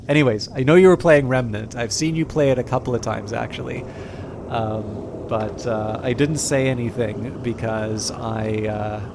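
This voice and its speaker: Chatty man streaming games. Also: mid-range voice. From Canada. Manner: rambling, friendly, many fillers and restarts. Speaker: game streamer. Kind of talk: casual live-stream chat over gameplay. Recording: noticeable background noise.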